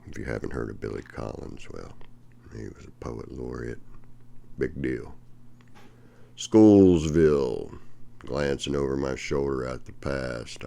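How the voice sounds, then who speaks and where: An older man reading aloud from a book with brief asides, in a deliberate delivery that swings from emphatic to hushed. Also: extremely low pitch, vocal fry. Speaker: reader of a poetry textbook.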